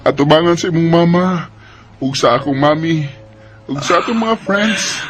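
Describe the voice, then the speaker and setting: High-voiced, self-important young Bisaya fiancé. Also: male voice, lively intonation, normal speed, a bit bright. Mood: romantic. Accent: Bisaya Filipino. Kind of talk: Filipino radio drama acting. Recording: music bed under the voice.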